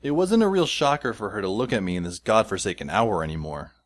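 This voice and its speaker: A man's voice: on the low side, lively intonation, fast talker. A man narrating a story in the first person with a dry, sardonic manner.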